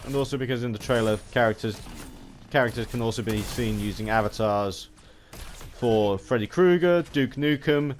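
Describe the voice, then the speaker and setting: Stammering man rambling over background noise. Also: medium pitch. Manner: halting, stammering, often restarting sentences mid-word. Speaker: gaming video host. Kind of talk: unscripted rambling commentary. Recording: home recording with background noise.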